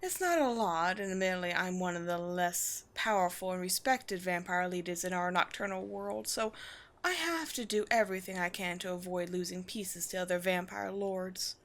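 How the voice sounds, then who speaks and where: Woman playing a haughty, measured vampire queen. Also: on the low side, a bit bright. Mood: composed, dignified. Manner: formal, measured, haughty, teasing. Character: poised vampire queen, proud, wry, secretly gentle colony leader. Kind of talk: scripted roleplay audio drama.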